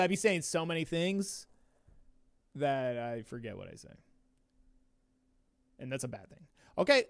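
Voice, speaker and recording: A man's voice: medium pitch, gravelly, a bit bright, dry recording. A man in his twenties hosting a livestream watch party, chatting casually in slang and laughing often, with a sniffly voice. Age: 20s.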